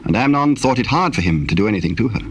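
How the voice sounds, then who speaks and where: A man narrating a dramatized Bible, telling the story solemnly in measured, archaic English. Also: on the low side, expressive, slight background noise.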